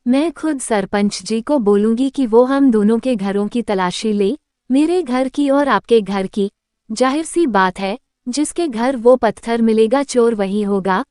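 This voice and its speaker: Clear-voiced shrewd village wife played by a woman. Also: on the high side, a little slow, mellow, dry recording, studio quality, natural voice. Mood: shrewd, challenging. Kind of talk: Hindi cartoon story dialogue.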